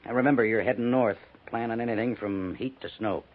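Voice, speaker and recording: An actor playing an earnest army major who gives orders in a 1950s radio western. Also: male voice, mid-range voice, brisk, close to an everyday voice. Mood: commanding. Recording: thin, phone-like, noisy 1950s radio recording.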